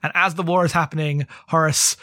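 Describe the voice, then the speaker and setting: A man co-hosting a podcast, talking through a book casually in a halting, rambling way full of asides. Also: fairly high pitch, fairly even intonation, bright, forceful tone, no echo, clean studio sound. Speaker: podcast co-host. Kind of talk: casual book discussion with plot recap.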